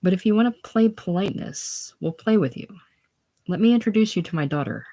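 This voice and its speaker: A reader voicing a gruff old farmer who mocks and jeers loudly. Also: mid-range voice, expressive, fairly quick, pitched above the performer's own voice. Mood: mocking. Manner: loud, teasing, contemptuous. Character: gruff old Irish farmer, mocking and jeering.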